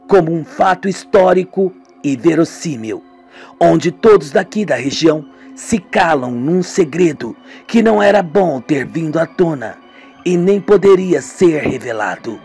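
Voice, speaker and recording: A man with a Brazilian accent and a husky voice, reading a scripted radio soap and doing all the parts himself. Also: a little high, a little mellow. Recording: music behind the voice. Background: music.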